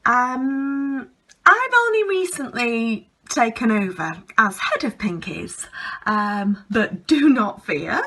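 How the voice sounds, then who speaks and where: Woman acting a pompous, slow-talking lady spa manager. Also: medium pitch, bright, forceful tone, full character voice. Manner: pompous, slow and deliberate, malapropism-prone. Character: pompous, self-important female health spa manager, mangling long words. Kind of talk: comic character monologue.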